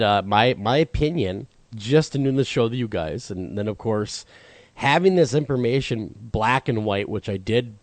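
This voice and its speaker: A man's voice: lowish voice, darkish tone, no room sound. Man with a froggy cold voice. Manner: chatty, agreeable, folksy. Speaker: podcast co-host. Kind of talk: casual discussion.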